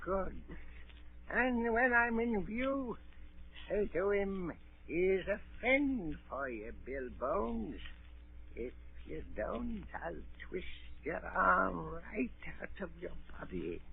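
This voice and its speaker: A blind old beggar pirate in a vintage radio play. The voice is very high and drawn out, wheedling on the surface and menacing beneath. It comes through a thin, tinny 1930s recording. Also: male voice, big pitch swings, gravelly, husky, heavily acted. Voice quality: soft-spoken. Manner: slow, wheedling, rustic seafaring speech. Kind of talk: 1930s radio drama acting.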